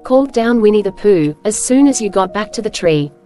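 A woman narrates a children's storybook in a scripted reading. Her voice is of medium pitch, with music playing clearly behind it. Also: expressive, fairly quick, dark tone.

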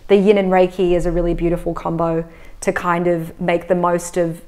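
A woman in her thirties from Australia, speaking as a yoga and breathwork teacher. Her voice sits a little low, and she explains things calmly with frequent fillers and a relaxed pace. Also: fairly quick. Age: 30s. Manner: calm, explanatory, many "um"s and "like"s. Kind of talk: casual interview answers.

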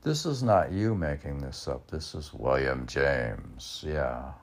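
A man with an extremely deep voice full of creak and gravel rambles through a podcast. He takes his time, halting and stretching his phrases. His tone is husky, and thoughts often trail off as he muses like a poet. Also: animated. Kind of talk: rambling podcast musing, asking and answering himself.

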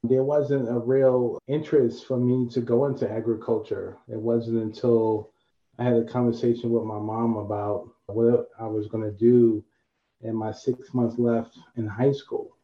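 A man's voice: medium pitch, level tone, measured pace, echoey room, muffled recording. A man from New York City speaking steadily and reflectively as a panel guest. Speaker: guest, agriculture official. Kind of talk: video call panel talk.

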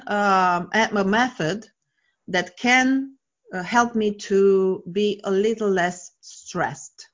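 A woman's voice: medium pitch, fairly bright tone, dull audio. A woman in her forties, a guest coach telling stories earnestly and enthusiastically in a casual interview. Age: 40s.

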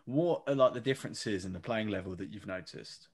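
A man with a fairly low voice co-hosts the interview. He asks rambling, chatty questions and fills the gaps with fillers. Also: a little fast, slightly rough. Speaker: podcast co-host.

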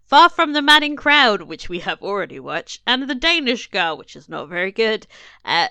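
A woman's voice: medium pitch, very animated, moderate pace, pushed and bright, clean tone, dry recording. A woman from England co-hosting a podcast in a casual, chatty way, laughing and swearing now and then. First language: not French. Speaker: podcast co-host. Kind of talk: casual chat.

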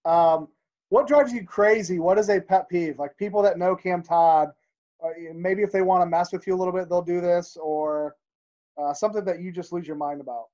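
A man hosting a podcast, asking casual questions in a relaxed, encouraging way. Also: high-pitched. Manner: relaxed, encouraging, quick to laugh along. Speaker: podcast host. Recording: dull, muffled audio.

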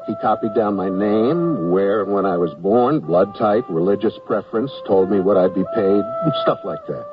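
A man's voice: lowish voice, normal speed, over music, close to an everyday voice. An actor playing a mild, hapless army draftee who tells his own story in an old radio comedy. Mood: wry storytelling. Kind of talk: first-person radio narration and comedy acting. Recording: noisy, muffled, distant vintage radio recording.

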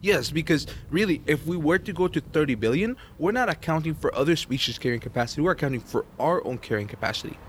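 A man in casual podcast chat, swearing often and explaining with rising excitement. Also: a little high, fast, hardly any pauses, slight background noise. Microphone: shared podcast microphone.

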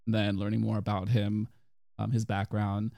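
Casting: young male host, gravelly voice, dry close sound, teasing and rambly. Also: lowish voice, monotone, moderate pace, mellow, no echo. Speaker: podcast host. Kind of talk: casual chat.

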